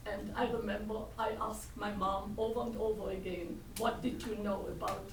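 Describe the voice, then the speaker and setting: An elderly woman in her eighties from Hungary, telling a memory in a plain, steady manner. Her voice is picked up from a distance in an echoey room with clear background noise. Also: medium pitch, normal speed. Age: 80s. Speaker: audience member. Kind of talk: telling a personal memory from the floor.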